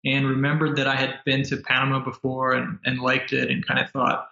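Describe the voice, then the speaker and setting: An American man talks through an interview in a relaxed but halting way, stacking fillers and restarts. His voice is gravelly and bright. It comes over a roomy, lo-fi remote connection. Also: medium pitch. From United States. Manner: hesitant, many fillers and restarts. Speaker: guest entrepreneur. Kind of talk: casual interview chat. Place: apartment in Manila.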